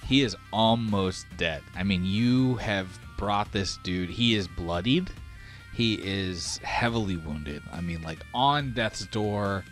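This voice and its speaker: Slow, deliberate man running a game. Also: on the low side, soft background music. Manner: casual, swearing. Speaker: game master. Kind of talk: narrating a tabletop roleplaying game.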